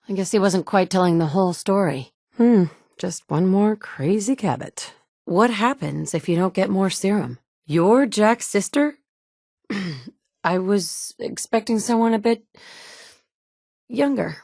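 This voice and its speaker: A woman's voice: lowish voice, a little mellow, no room sound, clean studio sound. A woman voicing a tough, blunt female survivor in a game, with curt, commanding lines. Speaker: player character. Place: recording studio. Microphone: close studio mic.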